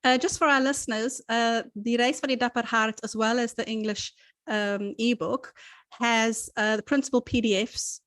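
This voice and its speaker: A woman's voice: on the high side, steady tone, moderate pace, a bit bright, no room sound. A woman author hosting her book launch, friendly and organised as she introduces each speaker. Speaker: author and event host.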